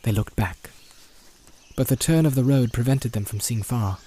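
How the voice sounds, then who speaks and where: A man narrating a fantasy audiobook as a deliberate, atmospheric and suspenseful storyteller. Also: mid-range voice, warm tone. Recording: home-made, nature soundscape mixed behind. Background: animal and nature sound effects.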